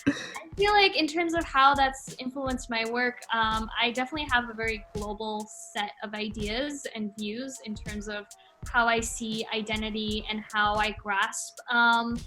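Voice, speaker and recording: A woman's voice: a little high, a little slow, sharp tone, soft background music. A woman from Malaysia in her late twenties, answering interview questions about her art in a thoughtful, casual way, with many filler ums. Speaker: interviewed artist.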